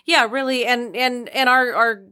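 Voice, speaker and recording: A woman's voice: on the high side, steady tone, measured pace, pushed and bright. A middle-aged woman chatting casually as an interview guest. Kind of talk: casual chat.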